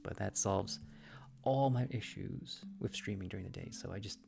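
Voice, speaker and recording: Low gravelly man, casual rambling livestream chat, lots of restarts, music playing under it. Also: moderate pace. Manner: rambling, with frequent restarts and fillers. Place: room in a shared house with thin, echoey walls.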